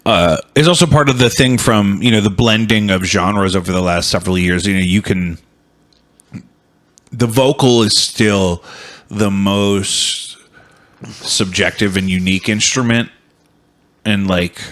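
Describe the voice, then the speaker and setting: A male host chats casually with his guest and works his way toward each point, with frequent ums and restarts. His voice is somewhat low and relaxed. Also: a little slow, long pauses. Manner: thoughtful, hesitant, lots of ums and restarts. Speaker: podcast host. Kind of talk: casual interview chat. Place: home studio.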